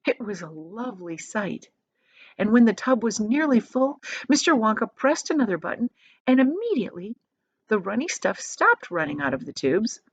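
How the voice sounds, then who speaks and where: A woman reading a children's book aloud as an expressive storyteller. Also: on the high side, moderate pace, husky, dull audio.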